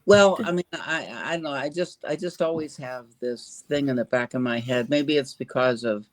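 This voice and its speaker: A man's voice: medium pitch, moderate pace. An older man, an author in a casual interview, talking in a rambling, hesitant way with lots of fillers and restarts.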